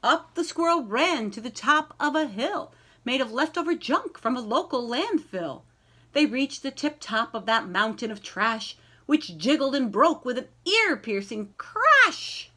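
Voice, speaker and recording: A woman reading a children's picture book aloud in an expressive storytelling style, addressing young listeners directly. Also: high-pitched. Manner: animated, pausing to ask young listeners questions.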